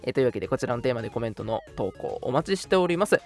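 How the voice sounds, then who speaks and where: A man's voice: mid-range voice, animated, very fast. A young man co-hosting a live radio talk show, mixing casual, jokey banter with polite announcements. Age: young adult. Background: background music.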